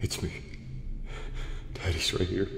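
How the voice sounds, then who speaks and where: A man playing a dazed detective lost in a dark realm in an audio roleplay, speaking in a halting, intense way. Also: close to an everyday voice. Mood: dazed, disbelieving. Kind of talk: dramatic audio roleplay acting. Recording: cavernous long reverb, loud music.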